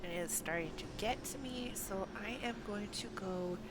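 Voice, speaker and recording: A woman's voice: mid-range voice, normal speed. A woman streaming a game, chatting casually and muttering informal asides to herself as she plays. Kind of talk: casual livestream chat while playing. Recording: faint background noise.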